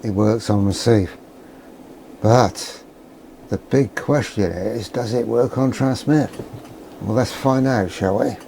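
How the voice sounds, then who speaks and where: Man, dark soft-edged tone, casual hobbyist demo, thinking aloud, understated, light background noise. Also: lowish voice, slow, gravelly, long pauses. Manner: musing, understated, asks himself questions. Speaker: electronics hobbyist presenter.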